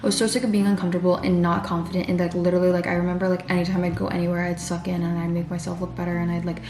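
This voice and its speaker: A woman's voice: on the low side, flat delivery, racing. A young woman from California chatting casually and rambling, with many fillers and restarts, while getting ready at home. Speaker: vlogger. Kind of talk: casual chat. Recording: roomy sound with background music.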